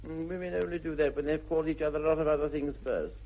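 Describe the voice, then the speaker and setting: A man acting an idle, witty young English gentleman with an upper-class accent in a 1960s radio comedy, arch and theatrical. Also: a little high, steady tone, quick pace. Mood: teasing. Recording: muffled vintage radio recording.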